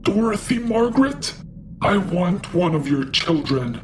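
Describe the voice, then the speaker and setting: A man voicing a cold, menacing woman in her sixties in a horror story, speaking with slow, deliberate insistence. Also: sits high, very animated, background noise, pitched above the performer's own voice. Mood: cold, insistent. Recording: music behind the voice.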